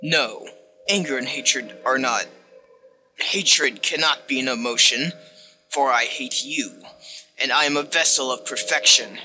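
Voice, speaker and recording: A man voicing a hateful, condescending machine-like game narrator, delivered as a dramatic reading with deliberate disdain. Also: medium pitch, sharp tone, rough recording. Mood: cold, hateful. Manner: dramatic, disdainful, deliberate second-person address. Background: music.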